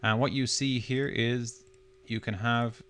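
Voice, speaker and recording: A man presenting a software demo, talking through it step by step in a loosely unscripted, explanatory way. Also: mid-range voice, steady tone, measured pace, a little hiss or hum. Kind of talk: step-by-step screencast tutorial narration.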